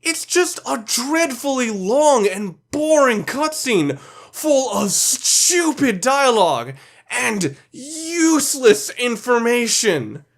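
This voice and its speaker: A man's voice: very high voice, big pitch swings, slow pace, soft background music. A man narrating a countdown video as its reviewer, delivering opinionated scripted commentary in a blunt, sweary, emphatic way.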